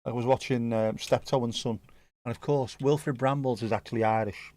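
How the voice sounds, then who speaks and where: A man's voice: medium pitch, fairly even intonation, fairly quick, a little mellow, no room sound. A man with a Scouse accent, hosting a livestream and chatting casually with viewers, joking and swearing now and then. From Liverpool area, England. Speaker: livestream host. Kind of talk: casual chat reacting to live chat.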